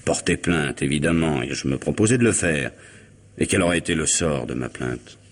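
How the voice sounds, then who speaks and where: An actor playing a suave, middle-aged lawyer in a French radio mystery, wry, urbane and unruffled. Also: male voice, very deep voice, moderate pace. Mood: measured, serious. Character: suave, ironic middle-aged male lawyer, coolly self-possessed.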